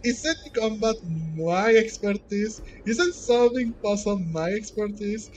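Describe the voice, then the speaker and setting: A man giving casual let's play commentary, haltingly, repeating words as he searches for phrasing. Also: high-pitched, darkish tone, a little hiss or hum, low-quality recording. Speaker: gaming streamer.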